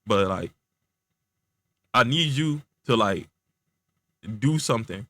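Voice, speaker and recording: A man voicing an anxious young man asking his friend for help in a story, halting, slangy and repeating himself. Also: mid-range voice, animated, fairly bright tone, pitched below the performer's own voice. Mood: uneasy, halting.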